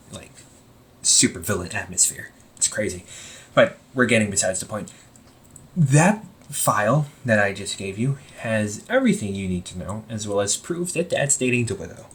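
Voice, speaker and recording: A man playing a young supervillain in an amateur audio roleplay, husky and breathy, speaking earnestly and haltingly to the listener. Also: on the low side, a bit bright, heavily acted. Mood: wry, casual, then explaining. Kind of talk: amateur audio roleplay, intimate one-sided dialogue to listener.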